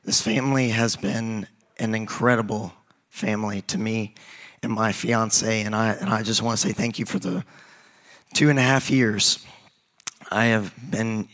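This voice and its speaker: A young man, a youth pastor, preaching a sermon in a raspy, hoarse voice from a recent cold, casual and halting with many restarts. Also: lowish voice, a bit bright.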